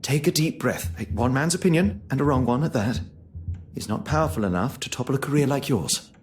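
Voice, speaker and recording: A man playing an earnest, kindly, polite gentleman who assists a detective in a mystery game. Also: medium pitch, lively intonation, fairly quick, a little hiss or hum, lightly acted. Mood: reassuring, polite. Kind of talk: scripted game dialogue.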